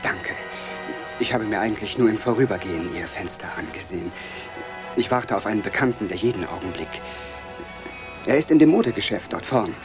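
A man playing a timid, polite clerk in a radio drama, hesitant and deferential in his speech. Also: lowish voice. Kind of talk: 1970s German radio drama acting.

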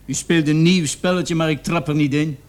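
High-voiced, defiant young suspect, played by a man. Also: steady tone, moderate pace, lightly acted. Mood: defiant, mocking. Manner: protesting, stubborn, argumentative. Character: defiant, indignant young male murder suspect. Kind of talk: radio play acting.

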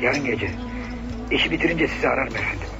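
A man's voice: mid-range voice, expressive, quick pace. A male actor in a radio play as a deferential, businesslike hired assassin, heard through a telephone filter. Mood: apologetic. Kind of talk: radio theater acting. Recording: thin phone sound over clear music.

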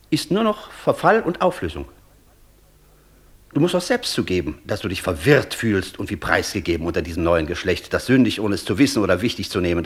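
An actor playing an intense, persuasive professor in a German radio play, declaiming and lecturing insistently with a husky voice. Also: male voice, medium pitch. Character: intense, persuasive male professor tempting the Devil. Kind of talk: German radio play acting, long rhetorical speeches.

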